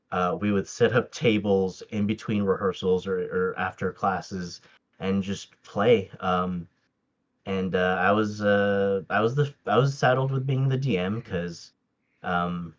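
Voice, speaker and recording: A man's voice: low voice, dull audio. A man whose first language is Tagalog, chatting casually as a podcast guest, with lots of fillers and restarts. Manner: hesitant, many fillers and restarts, laughs often. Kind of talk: casual interview chat.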